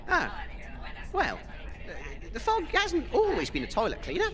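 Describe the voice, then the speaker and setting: A man's voice: very high voice, wildly swooping pitch, a little slow, heavily acted. A man playing a pompous, easily flustered British wasteland radio DJ in a comedy audio drama. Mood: boastful. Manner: pompous, hesitant when challenged. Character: self-important, flustered wasteland radio DJ telling boastful anecdotes.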